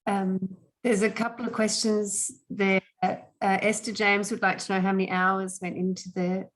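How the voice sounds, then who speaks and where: A woman's voice: mid-range voice, flat delivery, normal speed, poor audio. Woman moderating an online meeting.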